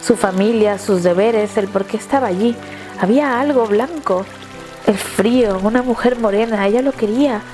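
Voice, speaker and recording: A woman narrator reading a scripted dramatic story in a measured, literary storytelling manner. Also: fairly high pitch, warm tone, soft background music.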